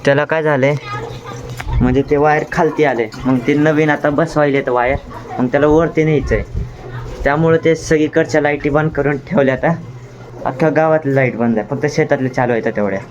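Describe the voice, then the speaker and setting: A man's voice: fairly even intonation, fairly quick, a little mellow, dull audio. A young man with a rural Marathwada accent, chatting casually as he vlogs his day. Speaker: vlogger. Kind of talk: casual vlog chat. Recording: noisy outdoor vlog, traffic rumble.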